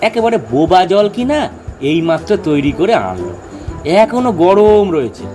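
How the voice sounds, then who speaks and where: An actor playing a pedantic, excitable amateur scientist who lectures insistently in a comedy audio drama. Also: male voice, high-pitched, normal speed. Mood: eager. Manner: didactic, animated, insistent. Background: background music.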